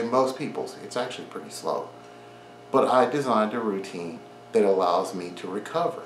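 Earnest man with a lively low voice. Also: roomy sound, slight background noise, low-quality recording. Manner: earnest, repeats key points, encouraging. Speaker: fitness vlogger. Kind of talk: direct-to-camera advice talk. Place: home garage gym, indoors.

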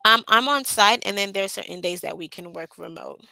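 A woman's voice: mid-range voice, fairly quick, gravelly, bright, forceful tone, no echo. Hesitant woman on a headset call. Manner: hesitant, many "um" fillers. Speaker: job candidate. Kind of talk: job interview answers. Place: small indoor room. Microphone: headset on a video call.

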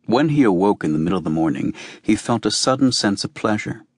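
A man reading a novel as an audiobook narrator, with measured, deliberate phrasing. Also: on the low side, animated, slightly rough, no room sound, clean studio sound. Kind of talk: scripted literary narration.

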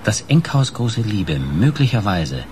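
A man's voice: lowish voice, big pitch swings, unhurried. An actor playing a chatty, teasing male informant of a detective in a German radio crime drama, wry and gossipy. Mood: confiding. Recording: clear background noise.

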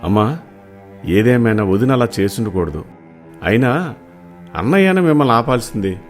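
A man playing a poor, devoted young village son in an animated moral story, earnest and pleading. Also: mid-range voice, moderate pace, faint music behind, lightly acted. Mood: upset.

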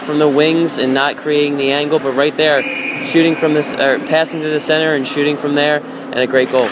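A man's voice: on the high side, flat delivery, brisk. A man giving color analysis on a hockey radio broadcast, restarting phrases as he explains the play. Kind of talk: sports commentary. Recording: noisy background.

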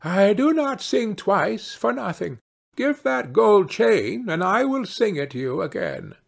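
Man voicing a high sing-song magical bird. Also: very animated, gravelly, slightly rough, full character voice. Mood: firm bargaining. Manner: sing-song, chanting, drawn-out calls. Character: proud, magical singing bird, bargaining and bright.

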